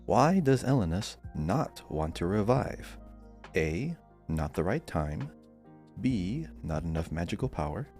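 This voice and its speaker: A man's voice: low-pitched, very animated, measured pace, a little mellow, soft background music. A man hosting a podcast quiz, reading out questions and chatting casually.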